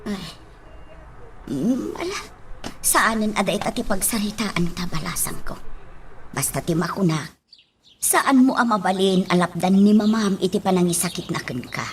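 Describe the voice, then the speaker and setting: A woman's voice: mid-range voice, animated, moderate pace, long pauses, a little hiss or hum, close to an everyday voice. A woman with an Ilocano accent playing a concerned, affectionate household nanny in a radio drama.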